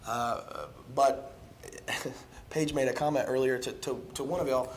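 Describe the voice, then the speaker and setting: A hoarse young man giving an evening sermon in a church, earnest and halting, with many ums and restarts. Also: mid-range voice, fairly even intonation, a little hiss or hum. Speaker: preacher. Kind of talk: evening sermon with casual asides. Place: church sanctuary.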